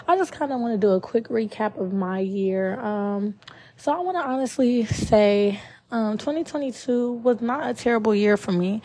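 A young woman in her twenties with a raspy voice, just up from a nap, hosting her own podcast in a chatty, rambling monologue with many ums. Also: mid-range voice, darkish tone. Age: 20s. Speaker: podcast host. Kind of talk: casual solo podcast monologue.

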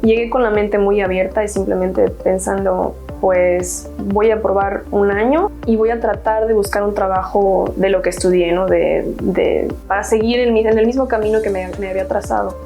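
A young woman with a Mexican accent tells her own story in an earnest, chatty way, stumbling and restarting as she goes. Her voice sits at a middle pitch. Soft music plays faintly underneath. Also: fairly even intonation, moderate pace, gravelly, few pauses. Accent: Mexican Spanish. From Mexico, Hidalgo state. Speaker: university graduate interviewee. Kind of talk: personal testimony.

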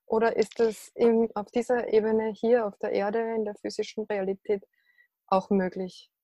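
A woman's voice: mid-range voice, flat delivery, normal speed, warm tone, poor audio. A woman conducting a conversational interview, putting reflective questions in a tentative, exploratory way.

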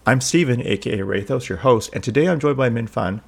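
A man's voice: medium pitch, lively intonation, fairly quick, creaky, husky. A man hosting a podcast and chatting casually, talking loosely with lots of "like" and "um" fillers. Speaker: podcast host. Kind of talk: casual chat.

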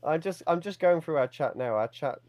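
A man's voice: fairly high pitch, expressive, a little fast, darkish tone, no echo. A man co-hosting a casual live-stream chat, easygoing with brief replies. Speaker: podcast co-host.

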